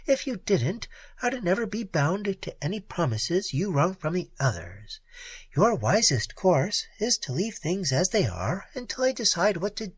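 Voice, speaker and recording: Guy doing a cool pirate lady, high and teasing, breathy, soft menace. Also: dry recording, exaggerated voice. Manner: sweet, taunting, softly menacing. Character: cool mocking female space pirate queen.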